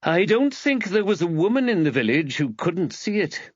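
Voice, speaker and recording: A man's voice: sits high, very animated, moderate pace, no room sound, dull audio, pitched above the performer's own voice. A man voicing a knowing, gossipy village woman in audiobook dialogue, wry and matter-of-fact.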